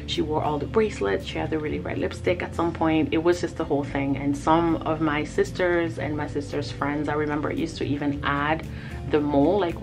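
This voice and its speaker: A woman whose first language is Lingala, from the Congo, chatting casually and reminiscing as she tells a story. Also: low voice. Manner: chatty and reminiscing, with frequent laughs and fillers. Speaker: artist vlogger. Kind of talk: casual storytelling over a timelapse. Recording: music playing under the voice.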